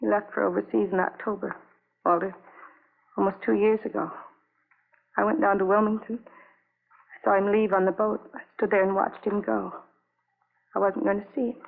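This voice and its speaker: An actress playing an anguished, lonely young wife in a 1950s radio drama, speaking in halting, repetitive fragments on a vintage broadcast recording. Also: female voice, medium pitch, little pitch movement, vocal fry, phone-quality sound. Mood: desolate, pleading.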